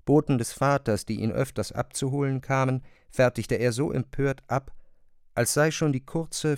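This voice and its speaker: A man's voice: medium pitch, a little mellow, dry recording, clean studio sound. A man reading literary narration for a radio play in pronunciation.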